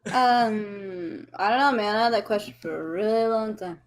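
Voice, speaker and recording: A woman in her twenties, a podcast guest calling in remotely, talking casually and swearing freely as she rattles off stories. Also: mid-range voice. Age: 20s. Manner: chatty, swears freely, fast storytelling. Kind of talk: casual chat. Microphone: remote voice call.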